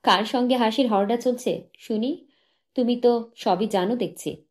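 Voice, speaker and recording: A woman's voice: on the high side, measured pace, a little mellow, voice pushed up, lightly acted. A woman voicing a cold, defiant wife in an audiobook story, curt and mocking in her replies. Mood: mocking challenge. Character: cold, defiant young wife, scornful of faith. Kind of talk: dramatised audiobook reading.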